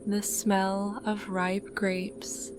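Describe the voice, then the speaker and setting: A woman narrating a scripted guided sleep meditation in a calm, soothing, unhurried manner. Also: medium pitch, over music.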